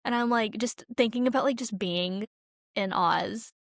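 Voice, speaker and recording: A woman's voice: fairly high pitch, normal speed, vocal fry, dry recording. Casual young woman, dry close sound. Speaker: podcast co-host. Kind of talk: casual chat about films.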